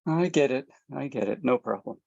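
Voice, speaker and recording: A woman's voice: very deep voice, expressive, a little fast, gravelly, darkish tone, breathy edge, dry recording, full character voice. A woman reading the part of a troubled father torn between his family members, pleading and apologetic, at a script table read. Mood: strained. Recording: dull, dry lo-fi sound.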